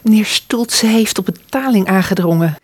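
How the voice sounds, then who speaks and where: A woman playing a kindly, motherly landlady under forty in an audio drama, indulgent and gently admonishing, with a husky voice. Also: medium pitch, moderate pace, dry recording, clean studio sound, close to an everyday voice. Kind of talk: Dutch audio drama acting.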